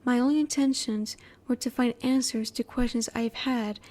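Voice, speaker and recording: A woman voicing a curious, reserved female alien scientist in a roleplay audio, speaking politely in formal, measured, halting phrases. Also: a little high, fairly even intonation, darkish tone, close to an everyday voice. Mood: apologetic, formal. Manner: formal, measured, precise wording with halting pauses. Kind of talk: scripted roleplay audio, spoken intimately to the listener.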